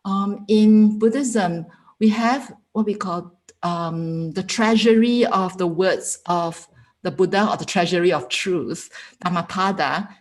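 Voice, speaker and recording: Female panelist, lower pitch, calm, reflective delivery. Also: poor audio. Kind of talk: panel discussion.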